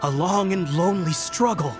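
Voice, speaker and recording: A woman's voice: on the low side, normal speed, over music, close to an everyday voice. A woman voicing a proud, self-serious novelist in a fantasy game, with a pompous, dramatic delivery. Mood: indignant, dramatic. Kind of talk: anime game character acting.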